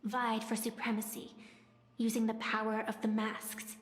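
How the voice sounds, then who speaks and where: A woman's voice: fairly high pitch, completely flat delivery, moderate pace, close to an everyday voice. A woman voicing an ancient, dignified immortal priestess in a video game, formal and stately. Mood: solemn. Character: ancient, dignified immortal priestess presiding over ritual games. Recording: echoing hall-like reverb.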